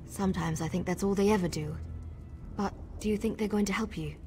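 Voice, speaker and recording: A woman's voice: mid-range voice, a little fast, dark tone, faint music behind, natural voice. A woman playing an earnest, impatient young elf in a fantasy game, speaking expressively. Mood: exasperated. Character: eager, earnest young female elf rebel. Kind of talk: fantasy video game voice acting. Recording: faint background noise.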